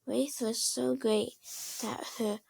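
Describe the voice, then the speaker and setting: A woman with a fairly high voice reads fan fiction aloud as an amateur narrator. Her delivery is halting and trips over words. The sound is dry, with little room. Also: steady tone, vocal fry, darkish tone, slightly rough, no echo.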